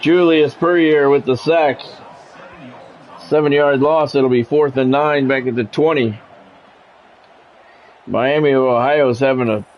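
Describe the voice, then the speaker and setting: A man's voice: mid-range voice, highs cut off. A man doing casual sports game commentary, drifting between announcer-style play calls and conversational musing.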